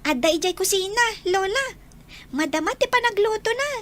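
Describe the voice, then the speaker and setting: Very high-voiced young Ilocano daughter played by an actress. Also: female voice, expressive, normal speed, a bit bright. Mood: bright, affectionate. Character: young daughter, chatty and affectionate with family. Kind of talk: Ilocano audio drama acting.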